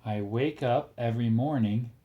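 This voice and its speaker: A man reading a picture book aloud with a small child, encouraging and pausing so the child can finish the phrases. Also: medium pitch, phone-quality sound, a little hiss or hum.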